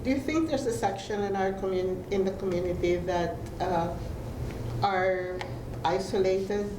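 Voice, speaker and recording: Older woman interviewer, deliberate questioner, roomy distant sound with background noise. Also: mid-range voice. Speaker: host. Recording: echoey room, distant mic, noise.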